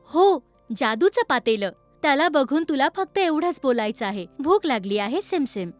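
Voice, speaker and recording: A woman voicing a kind, gentle fairy in a children's cartoon story, explaining things in a measured, instructive way. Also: sits high, expressive, dry recording, faint music behind. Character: kind fairy granting a magical boon, gentle.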